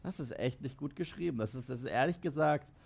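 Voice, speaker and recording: A man's voice: medium pitch, fast talker, gravelly, darkish tone. A man giving a comic film review to camera, incredulous and sarcastic, acting out imagined dialogue.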